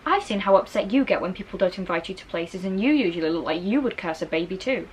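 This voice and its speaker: A woman's voice: fairly low pitch, lively intonation, fast, a little hiss or hum, natural voice, poor audio. A woman reading a storybook, voicing a cheeky eleven-year-old boy who argues with wry smugness. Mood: defensive, teasing. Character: cheeky, smug eleven-year-old schoolboy twin brother. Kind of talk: children's storybook character reading.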